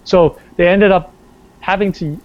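A man, a working actor, talks through his career in a loose, meandering way, full of "like" and false starts. His voice sits a touch higher than most men's. The sound is muffled and lo-fi. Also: fairly even intonation, measured pace. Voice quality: bass singing voice. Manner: rambling, filler-heavy, many restarts. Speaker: stage and screen actor, interview guest. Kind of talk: casual interview talk. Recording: dull lo-fi sound.

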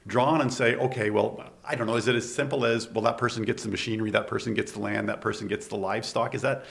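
Gravelly man hosting a chat. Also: on the low side, steady tone, fast, fairly bright tone. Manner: chatty, thinks aloud with asides. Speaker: podcast host. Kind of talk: casual interview. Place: studio table.

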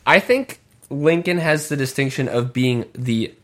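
A man's voice: mid-range voice, a little slow, a bit bright. A man co-hosting a casual podcast chat, blunt and opinionated, gossiping about reality TV contestants. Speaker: podcast co-host.

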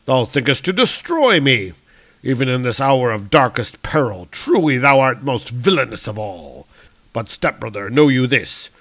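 A man's voice: medium pitch, big pitch swings, normal speed, fairly bright tone. A man voicing a noble, righteous thunder god in a comic-book story, with solemn declamatory archaic speech. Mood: solemn defiance.

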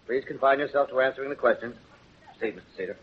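A man plays a stern, impatient judge in a 1930s radio drama. He talks quickly and in clipped phrases. The sound is tinny and narrow, like an old broadcast. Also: mid-range voice, a little hiss or hum, close to an everyday voice. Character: brisk, impatient but fair male judge.

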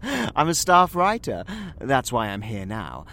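A man's voice: mid-range voice, huge pitch swings, measured pace, sharp tone, slight background noise, exaggerated voice. A British man playing a genteel, talkative Victorian magazine writer in an audio drama, rambling and theatrical in manner. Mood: animated, intrigued. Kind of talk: audio drama acting in period English.

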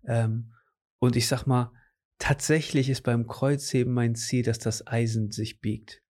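A man's voice: medium pitch, fairly even intonation, unhurried, a little mellow. A man in his late twenties chatting casually about his training goals, rambling with lots of fillers and restarts. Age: late 20s. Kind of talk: casual chat.

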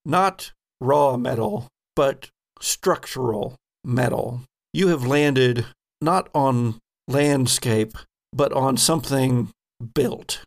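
A man narrating a tabletop game as game master, with a halting, descriptive storytelling manner. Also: medium pitch, creaky.

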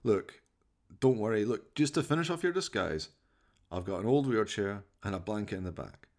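A man as an exasperated bloke, animated pitch. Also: normal speed. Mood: coaxing. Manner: colloquial, chiding, peppered with "aye" and "eh". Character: exasperated, sweary, scruffy bloke, chimp's best friend.

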